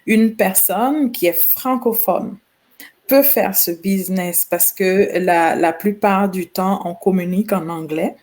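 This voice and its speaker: A woman hosts a casual interview and speaks slowly and unhurriedly. She asks her questions at a relaxed, measured pace and keeps her replies short while the guest talks. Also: medium pitch. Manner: unhurried, brief backchannel replies. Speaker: host.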